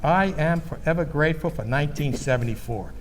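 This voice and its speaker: An actor playing an earnest, patriotic baby-boomer storyteller in an emphatic, solemn stage monologue. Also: male voice, fairly quick, soft background music, lightly acted. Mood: solemn, resolute.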